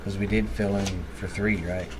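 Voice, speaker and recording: A man's voice: on the low side, flat delivery, moderate pace, noisy background. A middle-aged man from Texas with a Texan accent, chatting casually as a podcast co-host in a blunt, folksy, profane way. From Texas, United States. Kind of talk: casual ghost-story chat.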